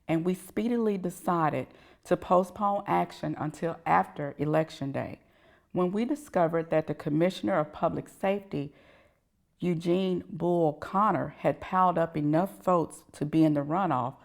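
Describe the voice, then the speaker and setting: A woman's voice: deep voice, creaky. A woman reading a historic letter aloud as scripted text.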